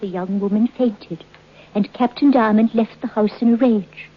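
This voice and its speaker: An actress playing a tiny, shrewd, knowing seamstress who tells old gossip as a deliberate, dramatic radio storyteller. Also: female voice, medium pitch, heavy background noise, natural voice. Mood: grave storytelling. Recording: tinny old radio recording.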